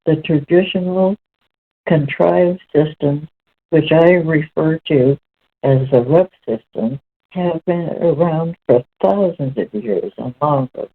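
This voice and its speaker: A woman reading spiritual text aloud as host, in a measured, deliberate way with halting phrasing. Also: sits low. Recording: muffled, very narrow lo-fi sound.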